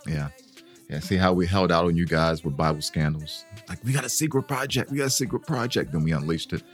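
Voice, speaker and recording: Deep-voiced joking male podcast co-host. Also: brisk, soft background music. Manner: loose, joking, swears casually. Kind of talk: casual chat.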